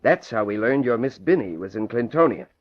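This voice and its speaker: An actor playing a brisk, worried federal agent in an old radio drama, questioning in an official manner. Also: male voice, mid-range voice, lively intonation, lightly acted. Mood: exasperated, concerned. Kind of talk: 1940s radio acting. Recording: muffled old radio recording.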